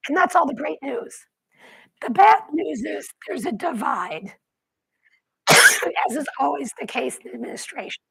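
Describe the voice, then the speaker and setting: A woman on a panel, hoarse and half-whispering through a cold, coughing and clearing her throat between phrases. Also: high-pitched, lively intonation, measured pace, background noise. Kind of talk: panel talk.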